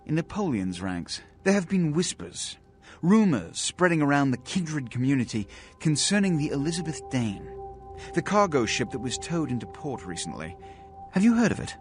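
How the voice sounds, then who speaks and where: An actor playing a haughty, aristocratic vampire prince in a video game, formal, pompous and condescending, coldly scheming. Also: male voice, fairly high pitch, big pitch swings, normal speed. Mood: measured, businesslike. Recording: music behind the voice.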